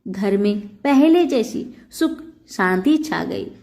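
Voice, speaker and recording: A woman reading a scripted devotional story as a storyteller, in a measured, reverent manner. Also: medium pitch, expressive, gravelly, dark tone.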